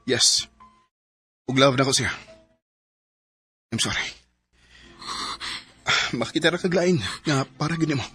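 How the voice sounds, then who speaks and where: A man's voice: mid-range voice, slow, pauses often, bright, forceful tone, slightly rough, soft background music, heavily acted. A man playing a blunt cheating boyfriend. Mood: cold. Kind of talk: radio drama acting.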